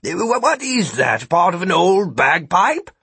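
A jovial male magician in a fantasy audiobook, voiced by a male narrator. He sounds wry and quizzical as he teases. His voice is raised in pitch and moves about freely. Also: very animated, takes it slowly, fairly bright tone, breathy edge, no echo, heavily acted. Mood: wry, curious. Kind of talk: audiobook character voice.

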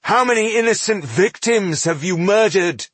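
Fantasy audiobook elf performed by a man, impassioned and bitter, high-pitched with a husky edge. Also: lively intonation, moderate pace, a bit bright, no echo, exaggerated voice. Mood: outraged. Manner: accusatory, impassioned. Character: anguished, indignant male elf accusing his people's killer.

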